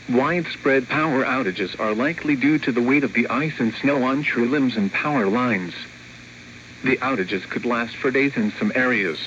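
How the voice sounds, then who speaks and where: A synthetic man's voice reads a scripted weather alert over the radio in a formal, measured, impersonal way. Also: mid-range voice, fairly bright tone. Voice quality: synthetic text-to-speech voice. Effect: radio broadcast. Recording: dull lo-fi weather radio, clear static noise.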